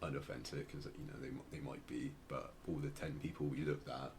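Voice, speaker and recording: A man's voice: rumbling low, level tone, a little fast, a little hiss or hum. A man co-hosting a podcast, chatting casually and explaining things hesitantly, often circling back to rephrase. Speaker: podcast co-host. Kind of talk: casual podcast chat, explaining a musician's story.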